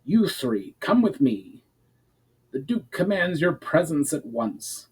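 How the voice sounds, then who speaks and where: A man's voice: fairly high pitch, sing-song, takes it slowly, a little mellow, breathy edge, pitched above the performer's own voice, full character voice, rough recording. A man voicing a stern, authoritative knight commander with formal speech in a fantasy audiobook. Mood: furious, commanding. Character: stern, commanding male knight commander, protective of his city.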